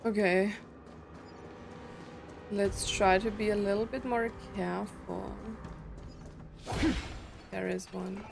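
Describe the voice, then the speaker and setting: A woman's voice: mid-range voice, steady tone, takes it slowly, creaky, pauses often. A woman chatting informally and reacting to herself as she plays a video game, sometimes swearing. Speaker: gaming video host. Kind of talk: casual reactions while playing a game. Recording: game music behind the voice. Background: video game soundtrack and character voices.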